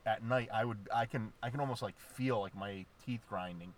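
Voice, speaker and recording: A man's voice: lowish voice, moderate pace. A man, co-hosting a podcast with teasing jokes and stammering restarts. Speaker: podcast co-host. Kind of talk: casual podcast banter.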